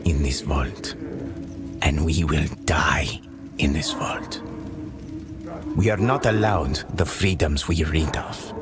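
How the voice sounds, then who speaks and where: A grave, dutiful vault dweller in a fantasy game. His voice is extremely low and he speaks slowly, with solemn resignation. Loud music swells behind him. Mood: solemn, resolute. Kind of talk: dramatic game narration.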